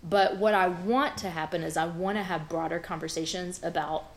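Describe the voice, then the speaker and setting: A woman's voice: on the low side, steady tone, moderate pace, a little hiss or hum. A woman from the American South, a guest artist talking frankly in an interview and laughing at her own jokes. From Southern United States (Memphis, South Georgia). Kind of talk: conversational interview.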